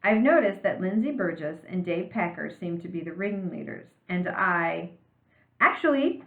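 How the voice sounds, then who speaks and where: A woman's voice: fairly low pitch, lively intonation, roomy sound, narrow, tinny audio. Woman acting a stern, authoritative female principal. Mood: firm. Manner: measured, authoritative.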